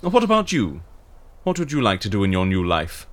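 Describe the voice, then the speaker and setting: A man playing an eccentric, witty and excitable fixer in an audio drama, with theatrical, wry delivery. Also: lowish voice, a little fast. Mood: wry, negotiating.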